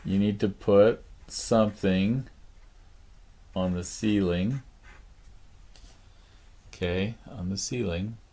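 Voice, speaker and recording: A man teaching a drawing lesson, thinking aloud slowly with many pauses, his voice raspy. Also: on the low side, dark tone. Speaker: art teacher. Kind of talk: drawing lesson, talking while sketching. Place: art studio.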